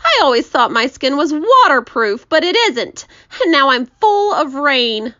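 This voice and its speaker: A woman's voice: mid-range voice, slow, sharp tone, clean tone, dry recording, highs cut off. A woman voicing a gloomy, self-pitying male earthworm in a children's storybook, groaning and complaining. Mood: groaning, miserable.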